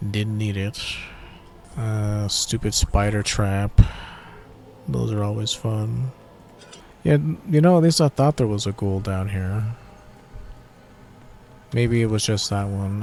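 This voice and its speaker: A man's voice: on the low side, darkish tone, slight background noise. A young adult man with a tired voice, giving laid-back, slangy gameplay commentary and swearing at mishaps. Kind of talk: casual let's-play commentary.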